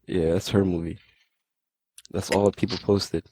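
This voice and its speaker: A man's voice: low-pitched, flat delivery, measured pace, warm tone, slightly rough, no room sound. A man chatting casually as a podcast panelist. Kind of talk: casual chat.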